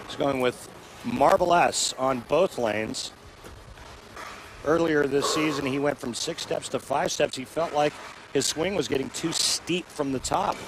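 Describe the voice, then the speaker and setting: A man's voice: fairly high pitch, unhurried. A man giving color commentary on a bowling broadcast, explaining technique with folksy comparisons. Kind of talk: sports color analysis. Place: bowling center broadcast booth. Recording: crowd and arena noise. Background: crowd noise.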